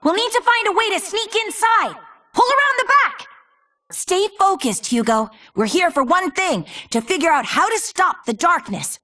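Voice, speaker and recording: A woman voicing a spirited young female adventurer in a video game, bossy but caring, brisk, earnest and encouraging. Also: extremely high pitch, expressive, bright, forceful tone, no echo, studio quality, exaggerated voice. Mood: urgent. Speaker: video game character, dispatcher and guide. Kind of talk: animated video game voice acting.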